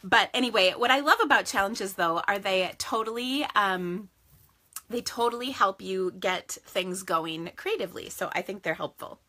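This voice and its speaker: A woman's voice: medium pitch, moderate pace, pushed and bright. A woman with a Minnesotan accent chatting casually while hosting a live stamping stream, her voice tired and starting to go. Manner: chatty and rambling, laughs often. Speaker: stamping crafts host. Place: home craft office.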